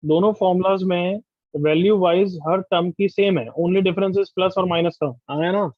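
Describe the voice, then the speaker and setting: Brisk high-voiced male teacher. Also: steady tone, dry recording, highs cut off. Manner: brisk, drilling students with questions. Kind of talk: online class teaching. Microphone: video call.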